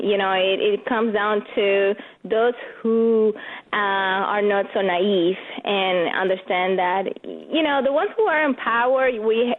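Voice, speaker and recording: A woman from Venezuela with a Venezuelan Spanish accent, a radio interview guest talking earnestly and often saying "you know". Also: medium pitch. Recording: phone-line guest audio.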